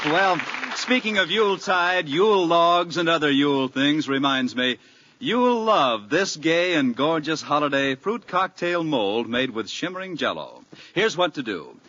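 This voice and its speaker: A man's voice: a little high, animated, measured pace, bright, forceful tone, no echo. A man working as a radio announcer, reading commercials and narration in a vintage mid-century style. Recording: muffled old radio broadcast.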